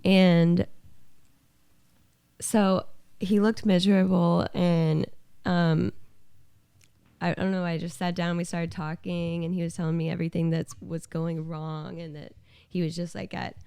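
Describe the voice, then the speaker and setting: A woman's voice: fairly low pitch, flat delivery, a little slow, creaky, pauses often, dark tone, clean tone, no room sound. A woman chatting informally on a podcast, hesitant and letting phrases trail off as she tells her side. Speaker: musician and podcast co-host. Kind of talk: casual podcast chat. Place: small home living room.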